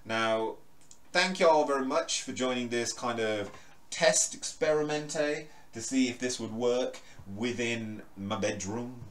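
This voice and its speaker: A man with a booming voice talks loosely to camera from his bedroom, full of fillers and restarts, as he stands a little way from his microphone. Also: a little slow, a bit bright, distant mic. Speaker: crypto vlogger. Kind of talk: casual talk to camera. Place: bedroom, indoors. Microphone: microphone in the room, standing away from it. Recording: roomy bedroom echo.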